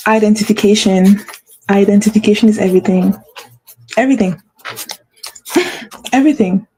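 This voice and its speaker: A woman's voice: mid-range voice, dark tone, slight background noise, rough recording. A woman hosting a motivational talk, speaking with a stutter and repeating phrases for emphasis. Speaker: host.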